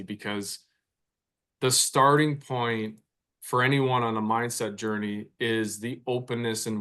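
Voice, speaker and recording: Guest man, forties, reflective coach, medium pitch, repeats himself mid-thought. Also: a bit bright. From Canada. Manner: reflective, repeats words while thinking. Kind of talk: conversational podcast interview.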